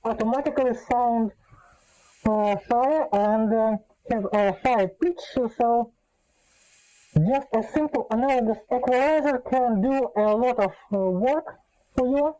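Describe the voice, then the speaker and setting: A woman talking casually and unscripted as a video host, explaining things in a halting way with frequent filled pauses. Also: fairly high pitch, mellow, poor audio.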